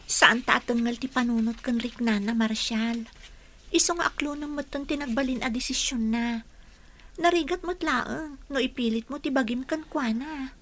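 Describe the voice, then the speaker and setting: A woman's voice: high voice, normal speed, fairly bright tone. A woman playing a sensible young female student in a radio drama, giving earnest, cautioning advice. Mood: consoling. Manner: advising, earnest.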